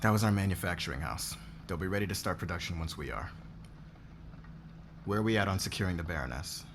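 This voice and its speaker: A man acts out a demanding fashion boss in an ASMR audio drama. His voice sits low, his manner is commanding and persuasive, and clear ambient noise runs behind him. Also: quick pace. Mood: stern.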